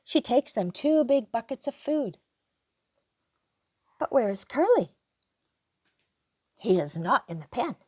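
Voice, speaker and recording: Storyteller, older female, for children's picture book read-aloud. Slow, expressive delivery with lively swings in pitch. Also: husky, no echo. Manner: animated, unhurried, acts out dialogue.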